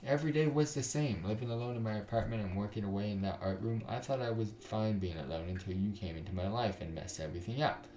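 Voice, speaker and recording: A man's voice: on the low side, quick pace, hardly any pauses, mellow, slight background noise, natural voice. A man voicing a guarded, sardonic young woman with emotional delivery in a visual novel. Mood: vulnerable. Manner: snarky, emotional. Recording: roomy echo over guitar music.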